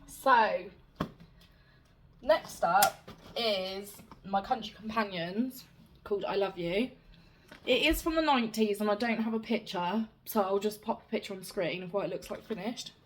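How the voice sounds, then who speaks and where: Chatty slightly raspy woman. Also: medium pitch, unhurried, a little hiss or hum. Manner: chatty, rambling, self-deprecating. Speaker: cross-stitch vlogger. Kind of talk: casual show-and-tell chat.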